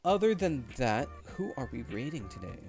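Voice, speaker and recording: A young man streaming, narrating and chatting casually in a playful, animated manner. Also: mid-range voice, normal speed, faint music behind. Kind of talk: casual livestream chat and game narration.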